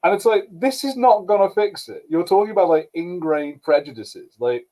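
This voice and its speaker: A man's voice: high-pitched, expressive, normal speed, dark tone. A man co-hosting a podcast in casual chat, restarting his sentences often as he gives opinions. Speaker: podcast co-host.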